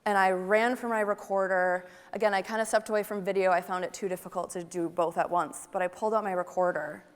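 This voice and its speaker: A woman's voice: mid-range voice, steady tone, fast. A young woman giving a photography talk, chatty and enthusiastic and asking her audience questions. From Wisconsin, United States. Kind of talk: conference presentation to an audience. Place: large conference hall. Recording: long hall reverb.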